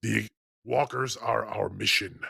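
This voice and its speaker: A man in a tabletop game talking through a plan, his voice very low and heavily gravelly. The tone is rough and husky, recorded dry. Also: steady tone, a little slow, pushed and bright, dry recording. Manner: matter-of-fact, planning aloud. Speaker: player.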